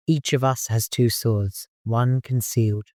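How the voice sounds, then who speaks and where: A man voicing a dutiful, experienced fighter with a formal, measured manner in an audiobook. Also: mid-range voice, fairly even intonation, darkish tone, no room sound, studio quality, natural voice. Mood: dutiful, serious.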